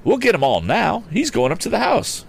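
A man voicing an eager, plucky lad inventor in an audiobook adventure, exclaiming excitedly. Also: sits high, moderate pace, gravelly, breathy edge, voice pushed up, heavily acted. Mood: tense, eager. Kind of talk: acted dialogue in an audiobook adventure novel. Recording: faint background noise.

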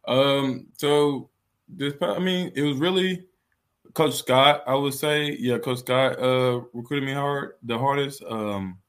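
A man's voice: medium pitch, slow pace. A man in his twenties with an American accent, a college athlete giving candid, casual interview answers in a conversational way. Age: 20s. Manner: candid and conversational, frequent "you know". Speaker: college football player being interviewed.

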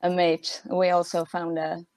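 A woman with a Swedish accent answers as a guest in a casual interview. She talks slowly and haltingly in a slightly low voice, often breaking into laughter. The sound is close and dry. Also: monotone, darkish tone, dry recording. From Sweden. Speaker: guest, punk band musician.

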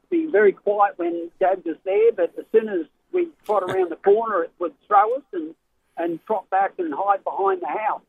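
A man's voice: sits high, gravelly. A middle-aged Australian man, a racehorse trainer, talks in a rambling, hesitant way with many fillers as a radio guest over a phone line. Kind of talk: casual radio interview. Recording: tinny phone line with faint hiss.

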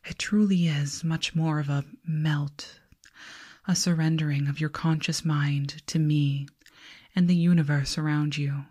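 Give the voice of a calm alien girl researcher, performed by a woman for an ASMR roleplay. She whispers and murmurs low and dark, with breathy softness. Her phrasing is slow, formal and soothing, with a detached, faintly condescending politeness. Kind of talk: ASMR roleplay, hypnotic whispering and soft speech.

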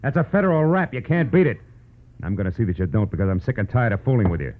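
A tough old-radio private eye, really low voice, sardonic and slangy, muffled vintage sound. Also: male voice, big pitch swings, fast talker, noisy background. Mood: threatening. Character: tough, wisecracking hard-boiled male private detective. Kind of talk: 1940s radio drama acting and narration.

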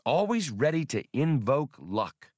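A male narrator plays a sceptical, sharp-tongued young woman in an adventure audiobook. The voice is raised in pitch and swings freely, always challenging and scornful. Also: sing-song, measured pace, dry recording, clean studio sound.

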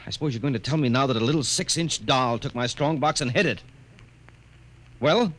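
A man's voice: mid-range voice, brisk, fairly bright tone, a little hiss or hum, natural voice. An actor playing a stern, anxious army colonel and father in a 1940s radio drama, blustering and worried.

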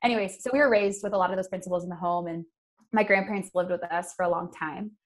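A woman's voice: lowish voice, steady tone, quick pace. Young American woman explaining things earnestly. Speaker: guest environmental activist. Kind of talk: conversational interview answers.

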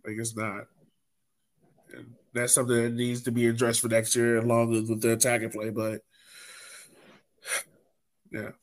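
Male podcast host, mid-pitched, informal sports talk, conversational delivery. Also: monotone, moderate pace, long pauses. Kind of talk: casual sports podcast discussion.

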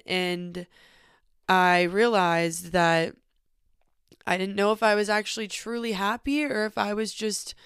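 A woman's voice: medium pitch, clean tone, no room sound, clean studio sound. A young woman from Northern California hosting a casual podcast from a small cabin, talking openly and reflectively with lots of "like" fillers, a little sniffly from allergies. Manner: open, reflective, frequent "like" fillers. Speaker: podcast host. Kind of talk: casual personal monologue.

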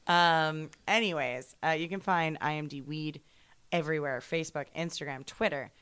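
Woman, low pitch, smooth smoky voice, giggly, rambling, casual podcast co-host. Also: normal speed, a bit bright, no echo. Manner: giggly, rambling, lots of fillers. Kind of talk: casual chat.

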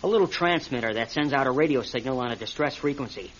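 A man's voice: medium pitch, fast, natural voice. An American man acting in a radio drama as an injured, practical, defensive pilot stranded after a crash. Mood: weary, reassuring. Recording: dull, noisy old radio recording.

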